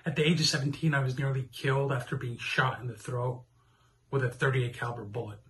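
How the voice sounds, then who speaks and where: A man's voice: mid-range voice, steady tone, fast, a bit bright, slight background noise. A man who is a trauma surgeon, giving an earnest, serious statement.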